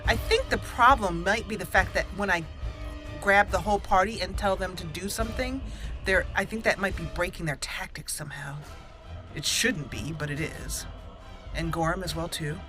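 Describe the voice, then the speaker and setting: A young woman hosting a let's-play, chatting excitedly through a battle and roleplaying dialogue. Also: lowish voice, moderate pace, music in the background, rough recording. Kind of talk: casual game commentary and roleplay.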